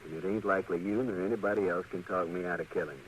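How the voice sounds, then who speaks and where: A man's voice: low-pitched, fairly even intonation, racing, natural voice. A man playing a bitter, menacing ex-convict in a radio western, speaking in a hard, insistent, threatening way. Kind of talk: 1950s radio western acting. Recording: dull, noisy old radio recording.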